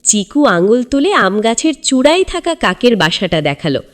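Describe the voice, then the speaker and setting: A woman's voice: a little high, big pitch swings, moderate pace. A woman narrating a scripted children's story in a dramatic, suspenseful storyteller's manner.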